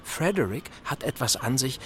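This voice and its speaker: Older navy admiral in a radio play, super animated pitch, hearty n blunt, bit of ambience behind. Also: male voice, moderate pace, slight background noise. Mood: chatty, opinionated. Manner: chatty, hesitating, emphatic. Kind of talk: German radio drama acting.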